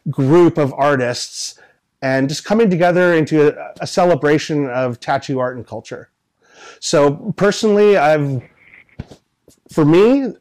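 A man's voice: fairly high pitch, a little mellow. Earnest man in his forties. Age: 40s. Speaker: tattoo artist and convention organizer. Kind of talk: interview answers, reflective conversation. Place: small indoor tattoo studio room.

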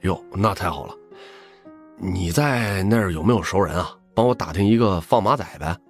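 A man's voice: low-pitched, normal speed, faint music behind. A man playing a cheeky, eager young buddy, playful and wheedling, in an audiobook drama. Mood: coaxing.

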